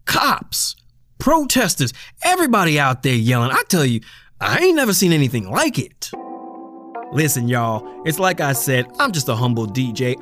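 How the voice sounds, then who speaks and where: An actor playing a flashy but laid-back hip-hop radio DJ with slangy, showy patter in an audio drama. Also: male voice, fairly high pitch.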